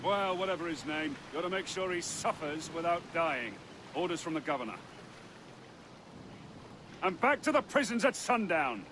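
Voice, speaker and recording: An actor playing a callous colonial officer in a video game, giving orders in a curt, matter-of-fact way. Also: male voice, on the high side, expressive, moderate pace, pauses often, natural voice. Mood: curt command. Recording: noisy waterside ambience behind.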